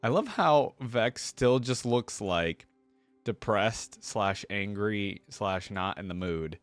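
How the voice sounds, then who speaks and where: A man's voice: fairly low pitch, expressive, takes it slowly, no room sound. A man giving casual reaction commentary in an enthusiastic, conversational way, as if thinking aloud. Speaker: reaction video creator. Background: background music.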